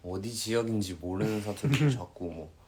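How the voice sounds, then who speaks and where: A man's voice: on the low side, normal speed, a little mellow, a little hiss or hum. A young man in his twenties, teasing and joking bluntly during a casual live stream.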